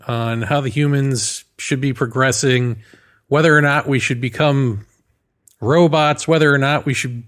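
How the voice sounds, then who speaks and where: A man delivering an opinionated philosophical monologue in a sardonic way, leaning on rhetorical questions and emphatic repetition. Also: medium pitch. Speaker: commentator delivering a solo monologue.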